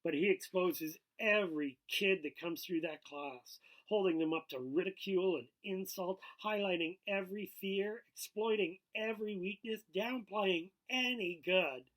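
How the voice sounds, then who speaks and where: A man voicing a sullen, stubborn teenage boy artist from a novel, terse and quietly defiant. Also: high-pitched, unhurried, hardly any pauses, rough recording. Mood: bitter, angry. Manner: terse, deflecting.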